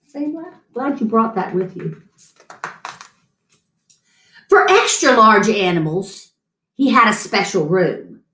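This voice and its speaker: A middle-aged woman reading a picture book aloud to children in an expressive story-time style. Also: mid-range voice, slow, long pauses. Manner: animated, chatting to an unseen guest between lines. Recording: roomy echo.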